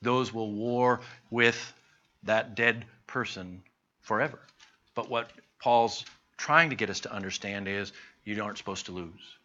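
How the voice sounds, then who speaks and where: A man teaching a Bible class in an earnest, conversational way, slowing down to stress his points. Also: fairly low pitch, sharp tone. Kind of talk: sermon-style Bible teaching. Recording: dull lo-fi recording.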